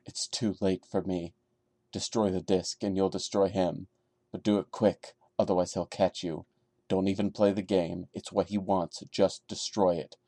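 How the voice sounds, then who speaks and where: A man voicing a frightened, desperate man who pleads gravely and urgently in a horror story, in a hushed delivery. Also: sits low, flat delivery, normal speed, no room sound. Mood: desperate, fearful.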